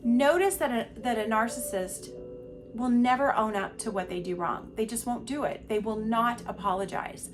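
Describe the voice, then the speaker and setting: A middle-aged woman hosting a personal monologue podcast, talking candidly and emphatically with a stuffy voice from a cold. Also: fairly high pitch. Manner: candid, emphatic, repeats words for stress. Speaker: podcast host. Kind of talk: personal monologue on abuse recovery.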